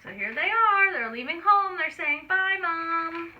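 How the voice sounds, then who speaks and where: A woman storyteller reading aloud to small children, expressive and explaining things simply. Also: very high-pitched, normal speed, dull audio. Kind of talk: children's read-aloud narration with asides.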